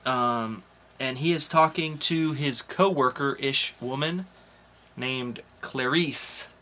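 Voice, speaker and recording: Bright, forceful man hosting a podcast. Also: medium pitch, takes it slowly. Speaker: podcast host. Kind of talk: casual podcast talk.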